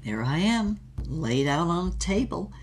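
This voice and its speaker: A woman's voice: on the low side, very animated, a little slow, a little mellow. Woman acting female adventurer, theatrical delivery, emphatic, first-person audio drama storytelling. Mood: awed, dramatic. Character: dramatic woman adventurer recounting her own near-death past.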